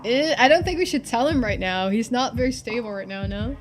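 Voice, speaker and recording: A woman's voice: on the high side, lively intonation, a little fast, over music. A woman game streamer reading a visual novel aloud, switching into playful, chatty live commentary.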